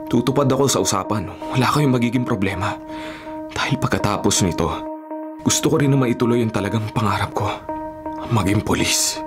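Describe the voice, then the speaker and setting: A man's voice: on the low side, a little fast, lightly acted. A Filipino-accented man playing a dutiful, disciplined young bodyguard in a radio drama, formal and restrained. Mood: earnest, reassuring. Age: young adult. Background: music.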